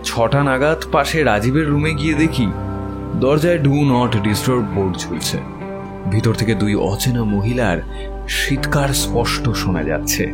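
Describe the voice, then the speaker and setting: Male audio-story narrator, mid-pitched, measured and literary, with prominent guitar music underneath. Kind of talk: scripted first-person story narration. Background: plucked guitar music.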